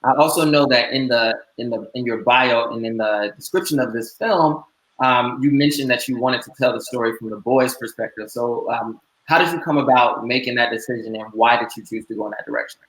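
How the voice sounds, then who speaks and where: A man's voice: medium pitch, a little fast, fairly bright tone. A man co-hosting a film Q&A, asking questions in a conversational style from an echoey room. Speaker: co-host interviewer.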